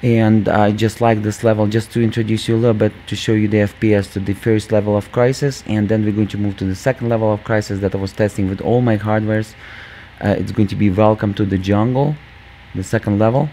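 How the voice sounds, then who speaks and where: A man hosting a gaming channel, talking casually over gameplay with a squeaky voice, lots of fillers, and calling viewers "guys". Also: fairly even intonation, fast talker, dark tone, slight background noise, low-quality recording. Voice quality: squeaky, just-woken voice. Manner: rambling, many fillers, addresses viewers as guys.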